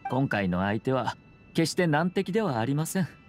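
A man plays a young lord of a noble house in an anime game. His speech is formal and measured, with a composed politeness in a mid-range male voice. Music plays behind him. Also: mid-range voice, very animated, natural voice. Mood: calm, assured. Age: young adult. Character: composed, courteous young noble clan head. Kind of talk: Japanese anime game voice acting.

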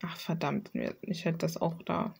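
A woman's voice: low-pitched, expressive, moderate pace, vocal fry, slightly rough. Slangy young woman chatting casually. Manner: slangy, self-deprecating, mildly sweary. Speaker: illustrator presenting own artwork. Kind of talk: casual, rambling art vlog chat. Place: small room at home, desk.

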